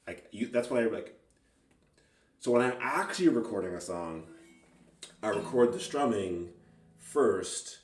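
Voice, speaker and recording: A man's voice: lowish voice, very animated, measured pace, pauses often. A man talks casually and off the cuff as a songwriter, rambling hesitantly and self-deprecatingly. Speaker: amateur singer-songwriter. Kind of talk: casual unscripted music vlog. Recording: roomy, distant mic, faint guitar.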